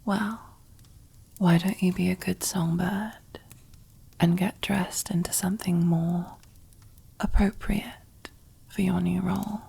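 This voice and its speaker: A woman playing a commanding, possessive, silkily menacing mob boss in an ASMR roleplay, with a breathy, husky near-whisper and slow, teasing, condescending delivery. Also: fairly low pitch, steady tone, mellow, heavily acted. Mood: seductive, commanding. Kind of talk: ASMR roleplay, close whispered character acting.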